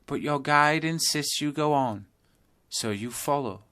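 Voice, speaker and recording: A man narrating a scripted guided yoga nidra meditation, telling a journey in measured second-person storytelling. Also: on the high side, sharp tone, clean tone.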